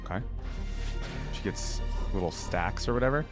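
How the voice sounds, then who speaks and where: A man's voice: lowish voice, expressive, moderate pace. Chatty man with music behind him. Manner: informal, chatty, enthusiastic fan. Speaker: reaction video host. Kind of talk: casual reaction commentary.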